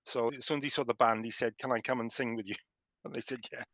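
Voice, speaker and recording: A man's voice: medium pitch, fairly even intonation, fast talker, fairly bright tone, no echo. A man in his fifties with a Welsh accent, chatting as a guest on a call and telling stories with chuckles and restarts. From South Wales. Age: 50s. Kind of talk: casual remote interview.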